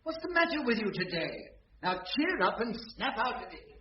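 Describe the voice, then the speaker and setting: An officious, unsympathetic managing agent in a radio comedy. His high voice moves briskly up and down as he gives orders, on a muffled recording. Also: sing-song. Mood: brisk, dismissive. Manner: officious, dismissive. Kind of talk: 1980s radio sitcom acting.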